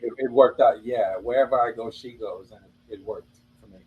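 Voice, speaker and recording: A man from Trinidad with a Trinidadian accent, a rapper and producer telling his story in a relaxed, chatty way. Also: medium pitch, a little fast, husky, phone-quality sound. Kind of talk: casual interview chat.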